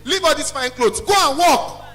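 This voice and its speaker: A young man with a Nigerian accent and a loud, carrying voice, giving an emphatic, blunt motivational talk as a business owner. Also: very high voice, moderate pace. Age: young adult. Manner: emphatic, blunt, repeats phrases for effect. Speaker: small chops business owner, guest speaker. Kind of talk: motivational talk to a student audience.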